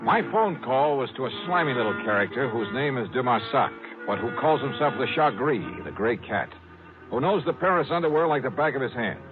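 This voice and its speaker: Man playing a lively hard-boiled radio investigator. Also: mid-range voice, fairly quick, lightly acted. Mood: brisk, confident. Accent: American. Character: brisk, wry, hard-boiled male insurance investigator. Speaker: lead actor and narrator. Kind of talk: 1950s radio acting and first-person narration. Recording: narrow old radio recording with music.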